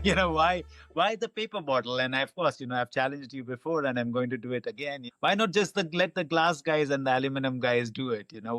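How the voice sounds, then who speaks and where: A man speaks as a podcast host in an informal, conversational interview style, with a teasing edge. His medium-pitched voice is recorded dry and close, with faint music at times. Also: a bit bright, no room sound.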